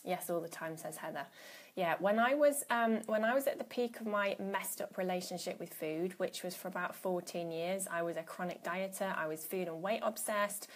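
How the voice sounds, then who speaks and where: A woman's voice: lowish voice, normal speed. A woman in her thirties chats to her viewers on a live stream, greeting them by name as they join. She talks casually and often restarts her phrases, recorded on a phone or laptop at home. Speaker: coach hosting a live stream.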